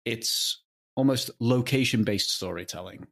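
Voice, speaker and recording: A man being interviewed as a writer, talking conversationally in a halting, thoughtful way. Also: medium pitch, a bit bright. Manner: halting, thoughtful, often chuckling.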